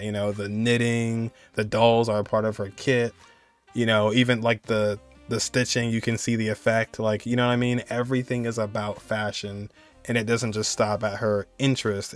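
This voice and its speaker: A young man giving casual, laid-back reaction commentary, thinking aloud in loose conversational phrases. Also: on the low side. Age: young adult. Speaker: reaction video host.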